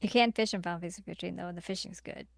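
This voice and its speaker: A woman's voice: on the low side, rapid-fire, creaky, no room sound, studio quality. A woman co-hosting a podcast in casual, informal conversation, emphasizing points by repeating herself. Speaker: podcast co-host. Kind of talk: casual chat, film ranking discussion.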